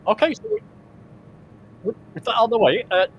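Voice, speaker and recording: A man hosts a casual online group chat. His voice sits a little high and moves up and down a lot. A faint hiss runs under the call. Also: expressive, moderate pace, a bit bright, muffled recording. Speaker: group flight host.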